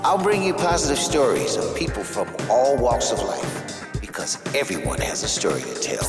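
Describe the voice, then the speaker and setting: A man's voice: mid-range voice, huge pitch swings, loud music behind the voice. A man hosting a podcast, chatting casually and kindly with a guest, often restarting his sentences. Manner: friendly, halting, restarts often. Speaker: podcast host. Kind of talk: casual interview chat.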